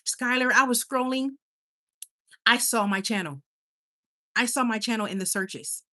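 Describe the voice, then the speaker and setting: Bubbly woman with a bright voice. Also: fairly high pitch, measured pace. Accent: Caribbean Spanish. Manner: chatty, bubbly, squeals with excitement, coos to her dog. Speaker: livestream host. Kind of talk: casual live chat reading viewer comments.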